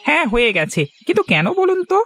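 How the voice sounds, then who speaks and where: Guy doing an eager polite village veg seller, cartoon, high swoopy voice, talks fast. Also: wildly swooping pitch, bright, forceful tone, soft background music, full character voice. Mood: grateful, polite. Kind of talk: cartoon voice acting.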